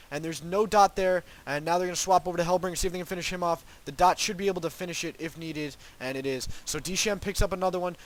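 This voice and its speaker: Congested young man casting a game. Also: high-pitched. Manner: rambling, hedging asides with many ums and restarts. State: congested, sniffling from a cold. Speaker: game caster. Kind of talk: solo play-by-play esports commentary.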